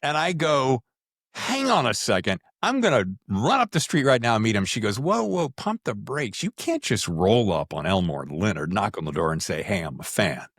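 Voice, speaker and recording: Male, middle-aged, interviewer. Lively, wide-ranging intonation, close dry sound, casual storytelling. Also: fairly low pitch, normal speed, dry recording. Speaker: podcast host. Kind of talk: casual interview chat with anecdotes.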